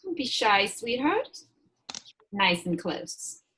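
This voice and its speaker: A sinister witch villain, acted by a woman in a table read, husky and wildly swooping in pitch. She is imperious and mocking, drawing out her words and cooing mock-sweet endearments as menace. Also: big pitch swings, slow pace, bright, forceful tone, heavily acted. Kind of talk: table read of a film script.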